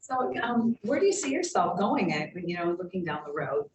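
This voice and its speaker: A woman with a slightly low voice puts informal questions to a speaker at a meeting. She is heard from across an echoey room, away from the microphone. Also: sing-song, moderate pace. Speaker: meeting participant asking questions. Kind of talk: casual audience questions. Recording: echoey room, distant from mic.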